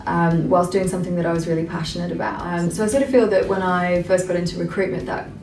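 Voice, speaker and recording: A woman's voice: fairly low pitch, steady tone, fast talker, darkish tone. A woman who works as a recruiter, telling a personal story in a conversational way in an interview. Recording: roomy, distant, faint music under.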